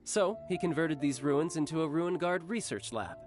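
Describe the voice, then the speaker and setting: Brief: actor as a cocky, easygoing young warrior, teasing and smooth, with a lively, lilting read. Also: male voice, a little high, normal speed, music in the background, natural voice. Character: cocky young male warrior, doting older brother, playful. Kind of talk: game cutscene voice acting.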